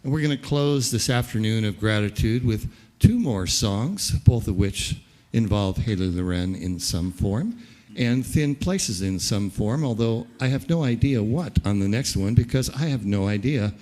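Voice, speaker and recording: A man's voice: lowish voice, moderate pace, darkish tone. A man hosting a gratitude concert, speaking reflectively between songs on stage. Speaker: concert host. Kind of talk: onstage emcee remarks.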